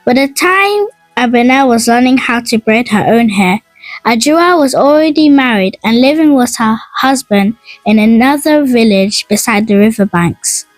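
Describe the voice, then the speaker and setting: A woman narrating a scripted folk tale in a dramatic, expressive and measured storytelling manner. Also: on the high side, no echo, rough recording. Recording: dry voice over music. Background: music.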